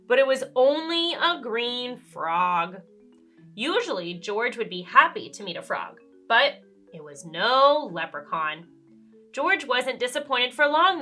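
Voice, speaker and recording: Woman, children's read-aloud host, bright tone, very lively intonation, storybook narration, soft music behind. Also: fairly high pitch. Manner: expressive, addresses young listeners with questions.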